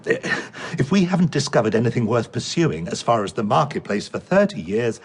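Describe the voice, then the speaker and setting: An actor playing a pragmatic, weary research director in a radio drama, firm and measured in manner. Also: male voice, mid-range voice, big pitch swings, breathy edge. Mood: weary, frustrated. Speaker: research centre director, professor. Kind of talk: naturalistic radio drama acting.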